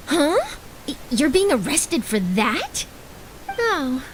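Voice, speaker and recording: A woman's voice: high-pitched, big pitch swings, slow pace, slight background noise, full character voice, rough recording. A woman voicing an eager, nosy young female newspaper reporter in a video game, bubbly and theatrical in her delivery. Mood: prying. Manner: bubbly, dramatic, gushing. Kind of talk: animated video game voice acting. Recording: game music behind the voice.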